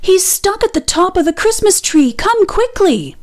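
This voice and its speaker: A woman's voice: very high voice, sing-song, normal speed, a little mellow, pitched above the performer's own voice. A woman voicing an urgent, panting rabbit in a children's story, pleading and out of breath. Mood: breathless alarm.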